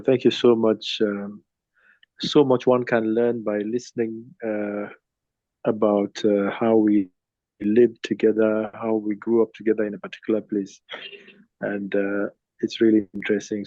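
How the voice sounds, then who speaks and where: A man in his fifties from Sri Lanka, presenting in an online group discussion from his phone, often pausing with uh and um. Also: fairly low pitch, warm tone, no room sound, muffled recording. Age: 50s. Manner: hesitant, many uh and um fillers. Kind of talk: casual presentation over a video call. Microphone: phone on a video call.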